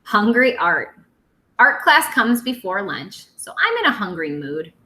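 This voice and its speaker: Animated, bright-voiced woman reading to children. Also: mid-range voice, a little slow, roomy sound. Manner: expressive, simple teacherly delivery for young children. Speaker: teacher reading aloud to first graders. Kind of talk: animated children's picture-book read-aloud.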